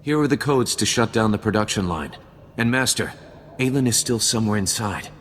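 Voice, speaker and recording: An actor playing a weary, grieving Jedi guardian in a video game, earnest, solemn and formal. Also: male voice, fairly low pitch, lively intonation, fairly quick, slight background noise, close to an everyday voice. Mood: earnest pleading. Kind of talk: video game cutscene acting. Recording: long hall reverb, faint game music behind.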